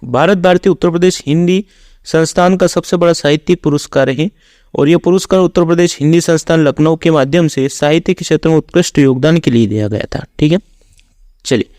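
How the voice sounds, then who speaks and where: A man with a fairly high-pitched voice teaches for a competitive exam. He reads out questions and factual explanations in an orderly, instructional routine. The sound is very dry, with no room echo. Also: a little fast, darkish tone. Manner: instructional, reading out facts in a set routine. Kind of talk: reading quiz questions with explanations.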